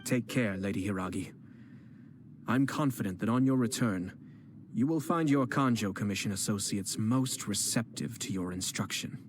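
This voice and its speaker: An actor playing a poised young clan head and cunning strategist, speaking in a measured, courteous way in video game dialogue. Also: male voice, lowish voice, noisy background, close to an everyday voice. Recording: game dialogue with music underneath.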